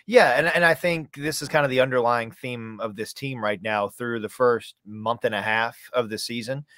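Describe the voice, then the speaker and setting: A man's voice: mid-range voice, normal speed, fairly bright tone, no room sound. A man in his thirties talks sport as an announcer guest on a podcast. His mid-range voice is recorded dry, and he delivers emphatic, clipped recaps in a conversational style. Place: indoor room, on a video call.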